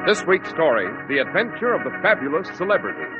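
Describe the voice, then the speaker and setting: A grand, formal announcer introducing a vintage radio mystery. His pitch swings widely as he builds each line. The sound is thin, hissy and echoey, like a worn old broadcast. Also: sing-song, quick pace, loud music behind the voice. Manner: formal, booming delivery. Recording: tinny, hissy old radio recording with reverb.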